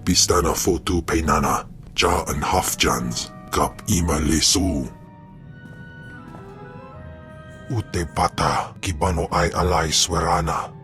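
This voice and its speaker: A man voicing a huge, grim, menacing alien brute in a video game, slow and ponderous, in an invented tongue. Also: rumbling low, a bit bright, faint music behind.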